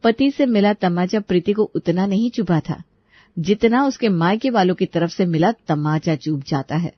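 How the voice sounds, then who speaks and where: A woman's voice: on the low side, dry recording, highs cut off. Woman narrating a dramatic story.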